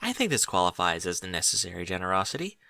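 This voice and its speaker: A man's voice: low voice, sing-song, vocal fry, pushed and bright, no room sound, voice pushed down, heavily acted. A man voicing an earnest young pony princess in a storybook, tender and reasoning. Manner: reasoning, deliberate.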